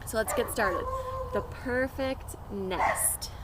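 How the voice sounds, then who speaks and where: A woman's voice: fairly high pitch, slow pace. A woman reading a picture book aloud to young children, expressively and with asides to her listeners, recorded outdoors. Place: outdoors near pet chickens. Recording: noisy outdoor recording, urban ambience.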